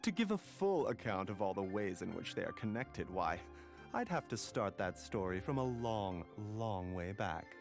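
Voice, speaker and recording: Courteous, expressive learned doctor. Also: male voice, on the low side, normal speed, creaky. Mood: musing. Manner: polite, erudite, gently formal. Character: courteous, measured adult male herbal doctor. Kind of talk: video game voice acting. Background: faint game music.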